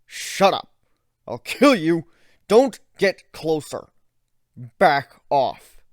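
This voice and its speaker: Trembling, pitiful male mage, performed by a man, fantasy story, quick to anger, raised animated pitch, dry sound. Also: no echo, exaggerated voice. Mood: desperate rage. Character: panicked, pleading male mage turning angry and threatening.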